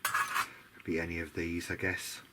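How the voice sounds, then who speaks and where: A man's voice: low voice, flat delivery, a little slow. A man giving casual, unscripted commentary as he works at a bench, musing and tentative as he thinks aloud like a hobbyist making a video diary. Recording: noticeable background noise.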